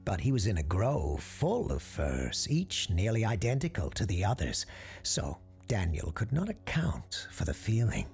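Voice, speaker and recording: A deep-voiced narrator tells a scripted ghost story with a gravelly creak. The delivery is hushed, suspenseful and widely varied in pitch, over music. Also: sing-song, measured pace. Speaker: storyteller, host.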